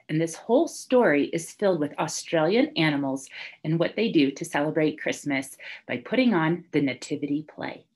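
Young woman reading a story, very expressive. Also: low-pitched, husky. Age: young adult. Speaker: teacher reading aloud. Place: small classroom, indoors, by a whiteboard.

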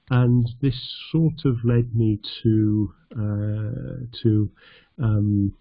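A middle-aged man from North Yorkshire, teaching an online lesson over slides in a halting, conversational way with a self-deprecating humour. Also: fairly low pitch, slightly rough, no room sound, highs cut off. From North Yorkshire, England. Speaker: English teacher.

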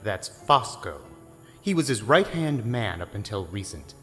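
A man's voice: lowish voice, sing-song, a little slow, pushed and bright, exaggerated voice. An actor playing a stern, demanding lawman questioning a prisoner in an audio drama. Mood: stern, probing. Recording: long hall reverb, faint music.

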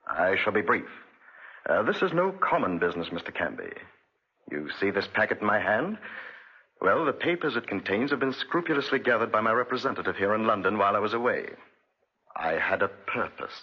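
An actor playing a cruel, obsessive, vengeful wealthy gentleman in a 1940s radio drama, with an imperious, theatrical delivery. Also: lowish voice, big pitch swings, fairly quick, slightly rough, heavily acted. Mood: grave, controlled. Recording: muffled vintage 1940s radio recording.

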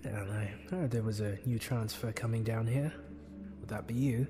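A man's voice: on the low side, normal speed, close to an everyday voice. A man voicing a courteous British monk in an audio drama, speaking formally. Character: courteous British monk, a church deacon officer. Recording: long monastery reverb, music behind.

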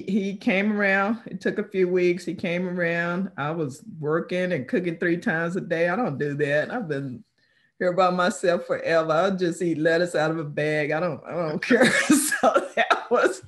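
A middle-aged woman from Texas, a guest author, chatting in an easygoing way and laughing often, heard over a webcam from a room at home. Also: fairly low pitch. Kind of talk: casual interview chat. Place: home room with framed pictures on the wall. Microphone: video call webcam.

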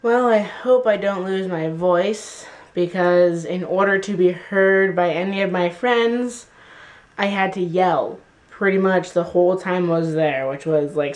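A man's voice: high voice, a little slow. A teenage boy with a stuffy, nasal voice from a cold, chatting in a rambling, self-deprecating daily vlog to a camera held at arm's length. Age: teens. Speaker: vlogger. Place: rooms of a house and outdoors. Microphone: handheld camera at arm's length.

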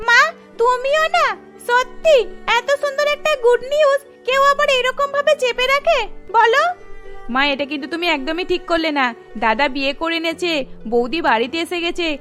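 Casting: woman to voice excitable young student sister, cartoon dub, very high-pitched, pouty and chiding. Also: normal speed, soft background music, heavily acted. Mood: excited, pouting. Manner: animated, chiding. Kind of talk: melodramatic cartoon dubbing.

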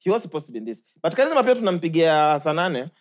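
A man's voice: fairly high pitch, sing-song, quick pace. A young man with a Kenyan accent chatting casually as a podcast co-host, mixing in Swahili and slang, close on a studio mic. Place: small furnished living-room style studio. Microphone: close podcast mic on boom arm.

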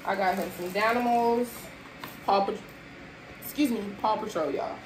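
A woman's voice: mid-range voice, normal speed. Chatty young woman in an echoey kitchen. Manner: chatty, addresses viewers as y'all, moving around while unpacking bags. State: tired and sweaty. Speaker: vlogger showing a grocery haul. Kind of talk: casual chat to viewers. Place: small apartment kitchen, indoors. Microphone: camera at a distance across the room. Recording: echoey kitchen with background noise.

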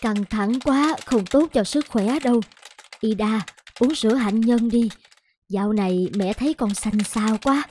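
A woman playing a gentle, anxious, devoted middle-aged mother in an animated fairy tale, with a coaxing, worried manner. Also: a little high, unhurried, slight background noise, natural voice. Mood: caring.

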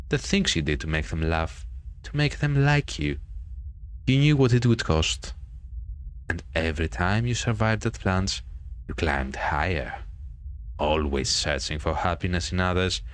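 A man's voice: low-pitched, expressive, unhurried, long pauses, clear, resonant tone. A man reading the part of a vain, bitter ghost of a hanged girl in a horror visual novel, with dramatic storytelling. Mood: taunting.

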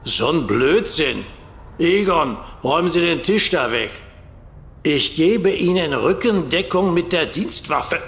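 Aufgeblasenen Wachtmeister, gemächlich und höher. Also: male voice, on the high side, lively intonation, unhurried, fairly bright tone. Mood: dismissive, bossy. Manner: pompous, bossy. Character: pompous, dismissive male police sergeant. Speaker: actor in an audio drama. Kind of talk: comedic audio drama acting.

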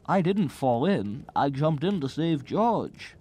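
A man playing a gentle, naive old angel in a radio drama, earnest, quaint and a little prim. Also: on the high side, big pitch swings, takes it slowly, a little hiss or hum. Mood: earnest, matter-of-fact.

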